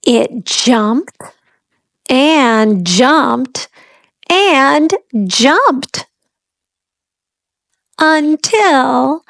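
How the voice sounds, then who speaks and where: High-voiced, very slow woman reading to children. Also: expressive, vocal fry, fairly bright tone. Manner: deliberate, simple phrasing with questions to young listeners. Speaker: children's read-aloud host. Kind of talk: storybook narration for young children.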